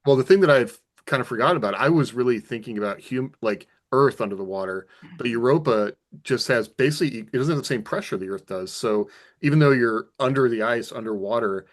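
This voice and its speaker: A man's voice: medium pitch, fairly quick. A man co-hosting a podcast in casual, conversational panel chat. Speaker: podcast co-host, author.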